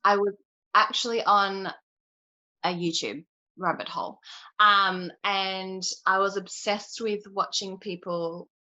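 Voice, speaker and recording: A young woman with a Queensland Australian accent, chatting as an interview guest and telling stories with animated energy. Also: mid-range voice, slow, highs cut off. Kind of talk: casual chat.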